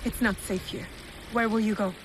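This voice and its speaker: A woman's voice: mid-range voice, fairly even intonation, normal speed, background noise, lightly acted. An actress playing a resolute, principled young shinobi woman in a video game, speaking firmly and earnestly. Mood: determined. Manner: firm, earnest. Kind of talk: video game cutscene acting. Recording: loud music behind the voice.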